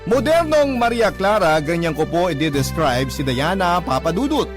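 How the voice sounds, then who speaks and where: A man's voice: high-pitched, very animated, normal speed. An actor with a Filipino accent playing a devoted boyfriend, politely narrating his love story in a scripted radio drama. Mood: fond, reflective. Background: clear background music.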